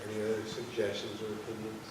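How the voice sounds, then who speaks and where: A man's voice: fairly low pitch, completely flat delivery, quick pace. Male moderator in a very echoey hall. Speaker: discussion moderator. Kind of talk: taking audience questions. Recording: echoey hall, distant mic, noisy.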